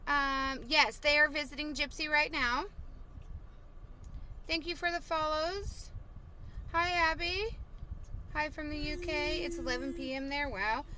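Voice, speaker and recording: A woman's voice: high voice, unhurried, long pauses, bright, forceful tone, slight background noise. A woman in her thirties chatting casually on a live stream from a parked car, reading viewer comments with lots of fillers, recorded on a phone at arm's length. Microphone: phone held at arm's length.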